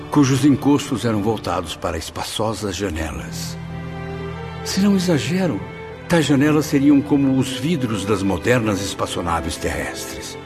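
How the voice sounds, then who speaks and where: A man acting a tormented, grateful soul who tells his story theatrically and formally in a radio drama. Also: lowish voice. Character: Camilo, tormented, grateful male suicide soul recalling his journey. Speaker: actor and first-person narrator in a radio drama. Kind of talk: radio drama acting, literary first-person narration. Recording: background music under the voice.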